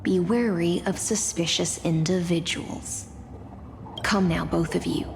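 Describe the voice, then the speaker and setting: A woman's voice: on the low side, fairly even intonation, measured pace, a little hiss or hum. A woman playing a cool, commanding, wary warrior in a fantasy game, composed and formal in her delivery. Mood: cold, commanding. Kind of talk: scripted fantasy game drama. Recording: long reverb, clear music behind.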